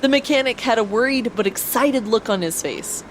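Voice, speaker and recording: A woman's voice: a little high, lively intonation, normal speed, slight background noise. A woman narrating a first-person audio drama expressively, acting out her own lines as she goes. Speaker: author and narrator of an audio story.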